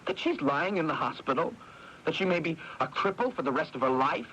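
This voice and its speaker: An actor playing a guilt-ridden, agitated brother in a 1980s radio drama, halting and repeating himself. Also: male voice, a little high, lively intonation, pushed and bright, lo-fi sound. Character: anguished, guilt-ridden brother with a fierce temper. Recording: noisy 1980s AM radio broadcast.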